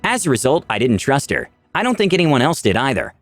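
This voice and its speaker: A man's voice: mid-range voice, huge pitch swings, brisk, no room sound. A man narrating a scripted first-person fantasy adventure story with dramatic delivery. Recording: background music under dry voice.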